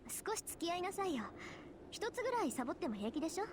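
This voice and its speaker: A woman voicing a proud, blunt teenage schoolgirl mage in a visual novel, brisk and matter-of-fact. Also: extremely high pitch, very animated, noisy background. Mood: bossy. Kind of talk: Japanese visual novel voice acting. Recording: game voice with loud music behind.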